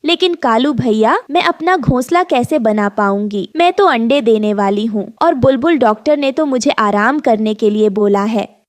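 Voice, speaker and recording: A woman's voice: fairly high pitch, expressive, normal speed, no echo, natural voice. A woman playing a worried, weary expectant mother bird in a cartoon story, polite, pleading and fretful. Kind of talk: animated moral story dub.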